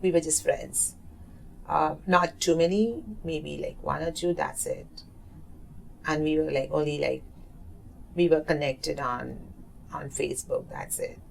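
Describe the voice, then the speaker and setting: Slow, gravelly-voiced woman in her thirties. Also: sits low, fairly even intonation, rough recording. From South Asia. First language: Hindi. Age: 30s. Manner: hesitant, many fillers and restarts. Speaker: oral history narrator. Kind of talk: interview answers. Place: community center room.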